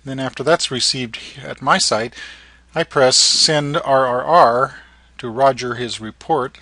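Bright-voiced man narrating haltingly. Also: mid-range voice, no echo. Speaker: amateur radio operator and narrator. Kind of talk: informal tutorial narration.

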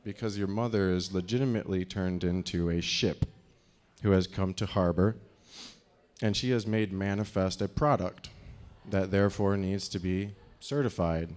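A man in his thirties with a very low, deep voice speaks into a handheld microphone on a dark stage. He rambles through a live talk, leaning on fillers and restarts as he goes. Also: normal speed. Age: 30s.

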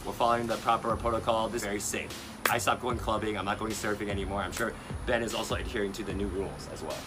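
A man's voice: lowish voice, level tone, quick pace, music in the background. A man from New York with an American accent, hosting a casual, breezy interview with plenty of fillers and questions. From New York, United States. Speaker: host of a video channel. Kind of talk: casual chatty interview, switching between English and Mandarin. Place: outdoor garden seating by apartment buildings.

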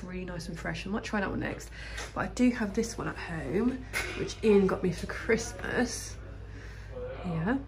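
A woman in her thirties with a cold, sounding croaky and bunged up, chatting casually to her phone camera in a parked car and then in a farm shop. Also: fairly low pitch, a little mellow, slight background noise, rough recording. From England. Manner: chatty, bubbly, rambling asides. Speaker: vlogger. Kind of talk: casual vlog chat. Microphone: phone held at arm's length.

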